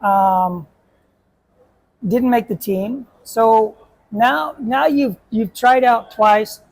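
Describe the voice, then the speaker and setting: A man's voice: very high voice, extremely slow, gravelly, rough recording. A man, a basketball coach, hosting a casual chat and asking questions with a joking manner and many restarts.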